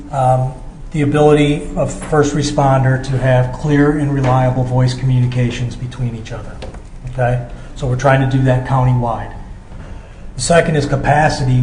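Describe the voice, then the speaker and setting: A man's voice: mid-range voice, a little mellow. An American man giving an explanatory technical presentation as a company representative, checking in with his listeners as he goes. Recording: echoey room with background noise.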